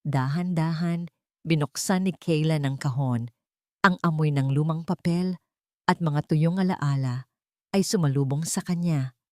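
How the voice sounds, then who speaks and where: A woman storyteller with a low voice reads a dramatic tale in deliberate, measured phrases. The recording is dry and close, with no room sound around her words. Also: no echo. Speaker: storyteller narrator. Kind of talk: scripted dramatic audio story narration.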